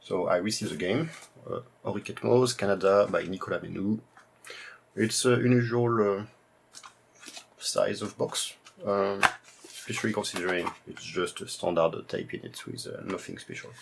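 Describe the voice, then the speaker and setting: A man with a French accent walks through old computer gear in a relaxed, halting way, his speech full of filler sounds. His voice sits low and has a gravelly edge. A faint hiss runs underneath. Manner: hesitant, heavy use of "uh" fillers. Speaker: retro computing video host. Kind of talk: casual show-and-tell presentation.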